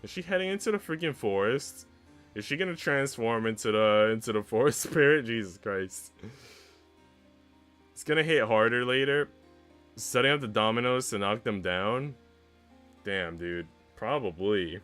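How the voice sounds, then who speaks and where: A man's voice: medium pitch, lively intonation, moderate pace, fairly bright tone, clear, resonant tone, soft background music. A man live-streaming as he reads a visual novel aloud and chats casually in slangy, mildly sweary language. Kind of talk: casual live-stream chat mixed with read-aloud narration.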